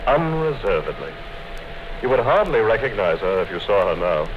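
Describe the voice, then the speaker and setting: An actor playing a coldly patient interrogator. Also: male voice, lowish voice, natural voice. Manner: calm, measured, coldly menacing. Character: cold, patient male party interrogator, middle-aged. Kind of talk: 1950s radio drama acting. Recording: dull 1950s radio broadcast with hiss.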